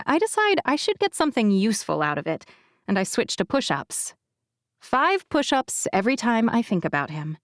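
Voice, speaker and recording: A woman narrating a first-person romance audiobook with wry, confiding warmth and easy conversational timing. Her voice sits at an ordinary middle pitch for a woman. The close studio recording is dry, with no room sound. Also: no room sound.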